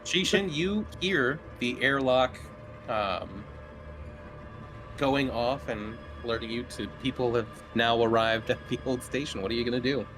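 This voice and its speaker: A man's voice: mid-range voice, measured pace, over music. A man running a tabletop horror game as game master, casually improvising scene descriptions in a conversational way.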